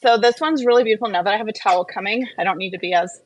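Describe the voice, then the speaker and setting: A woman's voice: medium pitch, racing. A woman vlogging in a casual, chatty question-and-answer and review style, rambling and often interrupting herself as she gets distracted. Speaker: skincare and wellness vlogger.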